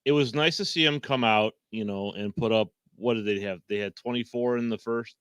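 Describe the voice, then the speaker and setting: A man's voice: mid-range voice, a little fast, smooth, no echo. A man on a sports talk panel, speaking hesitantly with many fillers and restarts. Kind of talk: casual sports talk show.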